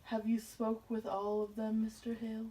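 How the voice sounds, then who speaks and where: Stern unbending old-salem judge done by a woman, highish mellow voice, bit far from mic. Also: completely flat delivery, moderate pace, slight background noise, close to an everyday voice. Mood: unyielding. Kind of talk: amateur puppet show acting of a stage play. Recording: distant from the mic.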